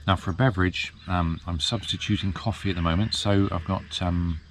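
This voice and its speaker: A man in his fifties, chatting casually to the camera outdoors in a field, relaxed and rambling with plenty of ums. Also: sits low, a little fast, slight background noise. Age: 50s. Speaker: vlogger. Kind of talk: casual vlog chat.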